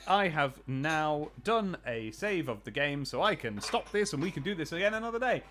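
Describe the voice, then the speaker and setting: A man's voice: on the high side, lively intonation, normal speed, fairly bright tone, soft background music. A man streaming a video game late in the day, sleepy but chatty, laughing and retelling scenes from old TV. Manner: chatty, laughing, tells anecdotes with put-on quotes. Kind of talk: casual live-stream chat.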